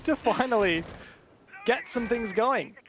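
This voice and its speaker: A man's voice: extremely high pitch, animated, slow, very noisy. A man giving live esports commentary in a chatty, joking, conversational way. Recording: game gunfire and clicks behind voice. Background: game sound with gunshots.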